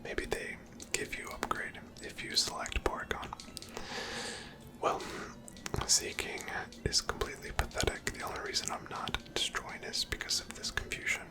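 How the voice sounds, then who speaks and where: A person whispering softly and breathily, giving gentle, casual ASMR commentary while playing a video game. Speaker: host of a gameplay series.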